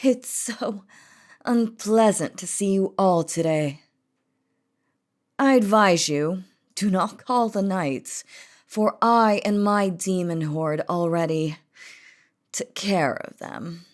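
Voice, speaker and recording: A woman's voice: mid-range voice, lightly acted. A woman voicing an imperious, possessive, lovestruck demon queen in a scripted roleplay audio drama, with a haughty, theatrical delivery. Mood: haughty, menacing. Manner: haughty, theatrical, slow and deliberate.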